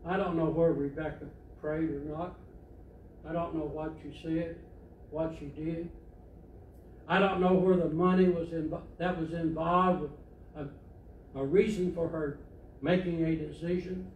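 Older preacher, echoing far off. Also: male voice, on the high side, steady tone, unhurried, pauses often, highs cut off. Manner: plainspoken storytelling, conversational. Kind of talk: sermon. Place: church sanctuary, indoors, standing at a pulpit. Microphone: far camera. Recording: echoey church from far off, faint hiss.

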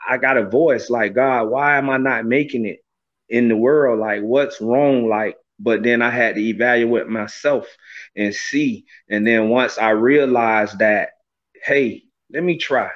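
Man, 30s, video-call guest; earnest, reflective, filler-heavy talk; middling pitch; slightly dull lo-fi audio. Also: unhurried, fairly bright tone. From Florida, USA. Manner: earnest, reflective, many "you know" fillers. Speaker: gospel recording artist, guest. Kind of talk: casual interview over video call. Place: small room indoors. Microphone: webcam on a video call, close framing.

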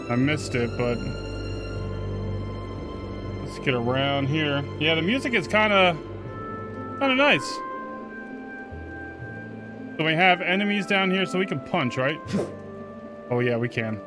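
Man, slightly high voice, casual gaming host, excitable, swears, talks to viewers, music behind. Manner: excitable, profane, reacts aloud and talks to viewers. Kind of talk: casual first-look gameplay commentary.

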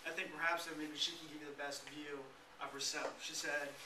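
A man's voice: a little high, level tone, brisk, recorded from a distance, noisy background. A young man giving an informative class speech, reading from notes with many fillers. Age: young adult. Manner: reads from notes, many "um" and "you know". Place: classroom, indoors. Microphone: far camera at the back of the room. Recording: echoey classroom, taped from afar.

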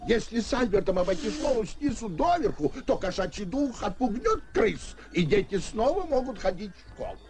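An actor playing a worried, earnest cartoon fire chief who speaks in an emphatic, official, urgent manner. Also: male voice, high voice, big pitch swings, normal speed, few pauses, darkish tone. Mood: urgent pleading. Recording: noisy old film soundtrack.

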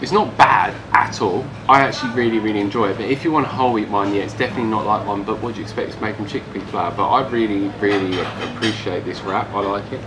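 A man's voice: on the low side, fast, few pauses, a crowd in the background. A young man with an English accent giving a casual food review while eating at a table. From England. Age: young adult. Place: small room, seated at wooden table. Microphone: camera across the table. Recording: noisy ambient room recording.

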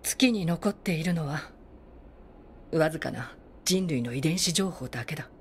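An actress playing a stern, weary android military commander in a video game, formal and grave. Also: female voice, low voice, animated, moderate pace, a little hiss or hum. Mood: grave, resigned. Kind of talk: dramatic video game acting.

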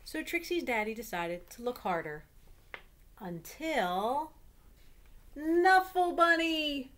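A woman's voice: high voice, big pitch swings, slow pace, fairly bright tone, a little hiss or hum. A middle-aged woman reads a children's picture book aloud as a storyteller at home. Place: small room at home.

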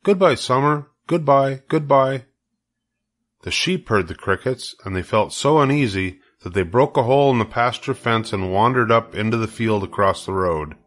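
Low-voiced man reading a storybook. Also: fairly bright tone. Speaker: storybook reader. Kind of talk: audiobook narration of a children's story.

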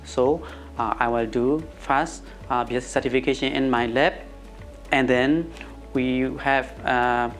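A middle-aged man from Myanmar with a Burmese accent, answering interview questions haltingly on a lapel mic. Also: mid-range voice, steady tone, soft background music. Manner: halting, searching for words. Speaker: interviewee, laboratory professional. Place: indoor office room.

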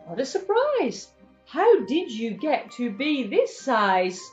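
A woman's voice: high-pitched, big pitch swings, normal speed, dark tone, muffled recording, faint music behind. A woman voicing a frightened little boy in a storybook, plaintive and full of exclamations. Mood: surprised. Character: frightened little boy looking for his lost teddy.

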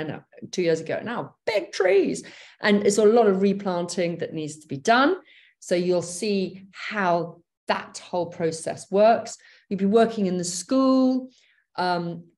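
A woman's voice: fairly low pitch, a little mellow. Chatty woman on a video call. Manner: chatty, enthusiastic, many fillers and restarts. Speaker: gap year program briefer. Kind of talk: online briefing. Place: home office, indoors.

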